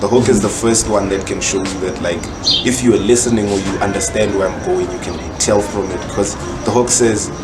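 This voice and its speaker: A man's voice: fairly low pitch, steady tone, fairly quick. A South African man talking casually and explaining himself in slang as an interview guest, a rapper and songwriter. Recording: noisy ambience with birdsong.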